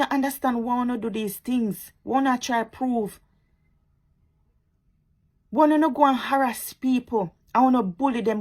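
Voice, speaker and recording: A woman's voice: on the high side, darkish tone. A woman with a Jamaican accent giving impassioned, preachy commentary to her social media viewers, repeating her points and turning sarcastic.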